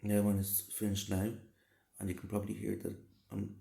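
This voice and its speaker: Low, gravelly man, flat and hoarse. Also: brisk. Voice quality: gravelly, slightly rough. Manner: reassuring, repeats and rephrases himself. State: hoarse from a cold. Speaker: vlogger answering a subscriber. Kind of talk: casual advice talk to camera. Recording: roomy, echoey sound.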